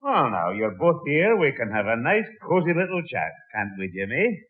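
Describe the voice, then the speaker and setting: A man playing a folksy, gently scolding old grandad in a 1960s radio sitcom, on a thin old recording. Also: medium pitch, sing-song, moderate pace, phone-quality sound, close to an everyday voice. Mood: doubting, chatty.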